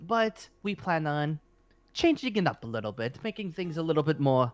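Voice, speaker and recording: A man's voice: a little high, sing-song, moderate pace. A man playing an eager, apologetic fantasy guild leader in improvised roleplay, talking in a hesitant, rambling way. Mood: earnest, hopeful. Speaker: roleplay performer. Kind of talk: improvised Minecraft roleplay dialogue. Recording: music under the voice.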